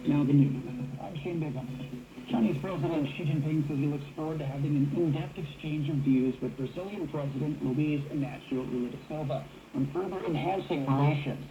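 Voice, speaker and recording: Male news anchor, mellow tone, quick scripted reading, faint shortwave noise. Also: on the high side, dull audio. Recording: faintly noisy shortwave radio reception.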